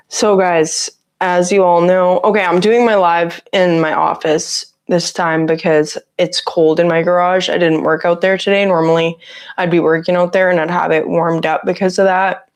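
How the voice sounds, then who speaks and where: A woman with a raspy voice, sick with a cough, chatting casually on a livestream from her home office on a lapel mic and greeting viewers by name. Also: on the low side. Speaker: livestream host. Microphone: lapel mic on her shirt.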